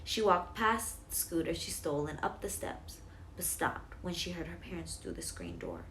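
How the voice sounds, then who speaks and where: A woman with a fairly low voice tells a bedtime story for children as a storyteller. Faint noise sits behind her on the recording. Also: very animated, normal speed, a bit bright, rough recording.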